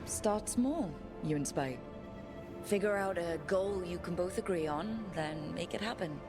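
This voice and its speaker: A woman playing a steady, caring adventurer in a video game, speaking in an earnest, frank and reasoning way. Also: lowish voice, a little slow, music in the background. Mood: firm, reassuring. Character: steady, caring, frank female adventurer talking a companion through pain. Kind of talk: dramatic video game voice acting.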